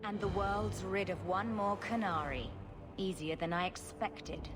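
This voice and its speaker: A woman's voice: mid-range voice, measured pace, music in the background, close to an everyday voice. A woman playing a brash, callous mercenary leader in video game dialogue. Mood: gloating.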